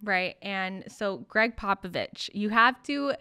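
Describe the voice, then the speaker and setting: A young woman interviewer asking questions casually, with informal, halting phrasing. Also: mid-range voice, expressive, sharp tone, clear, resonant tone, no room sound, clean studio sound. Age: young adult.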